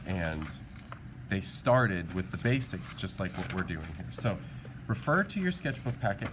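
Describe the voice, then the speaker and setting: A middle-aged man teaching art in an informal demonstration, thinking aloud haltingly and correcting himself as he goes. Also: lowish voice. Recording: clearly noisy background.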